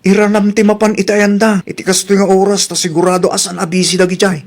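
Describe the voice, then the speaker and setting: Performer as brash neighbor, mid-pitched voice, teasing, questioning, Ilocano accent, comedy drama. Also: flat delivery, fast talker, close to an everyday voice. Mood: curious.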